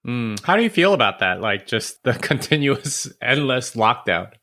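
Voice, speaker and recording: A man hosts a podcast interview with a bright, forward voice at an average pitch. He asks quick, friendly questions in a casual way. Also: sing-song. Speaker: podcast host.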